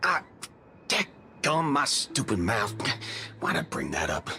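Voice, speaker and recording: A man voicing a cocky, playful cowboy gunslinger in a video game, talking in folksy slang with softened swear words. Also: fairly low pitch, wildly swooping pitch, slow pace, vocal fry, slight background noise, full character voice. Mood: casual, wry. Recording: game dialogue over music.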